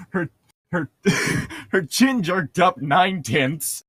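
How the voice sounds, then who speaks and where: A man's voice: high-pitched, animated, drawn-out words, sharp tone, husky, no echo, studio quality. A man co-hosting a podcast in casual joking banter over a book being read aloud, sarcastic and teasing. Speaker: podcast co-host.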